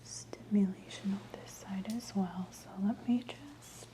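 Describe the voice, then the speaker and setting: A woman speaking in a gentle, breathy whisper, soothing and reassuring, as an ASMR practitioner giving a calm treatment. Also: medium pitch, a little slow. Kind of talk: soft-spoken ASMR scalp exam roleplay.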